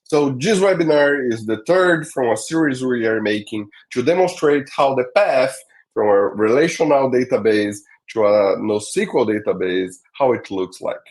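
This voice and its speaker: A man hosting an online tech webinar. His voice sits at an ordinary middle pitch, and he leans on "uh" and "um" as he moves from point to point. He checks in with the audience now and then. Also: measured pace, a little mellow. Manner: many fillers, checks in with "all right?". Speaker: webinar host.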